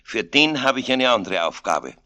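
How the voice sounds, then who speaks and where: Man doing god in a funny story, measured, bit astonished, mild scolding, dry close sound. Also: mid-range voice, sing-song, bright, forceful tone, dry recording, pitched above the performer's own voice. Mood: decisive. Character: dear God, male, astonished but composed heavenly authority.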